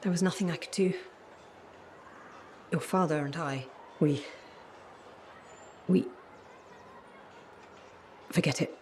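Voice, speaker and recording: An older woman voicing a wistful, fretful, loving mother in a video game, frank and gently chiding. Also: low-pitched, slow, long pauses, slight background noise. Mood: troubled.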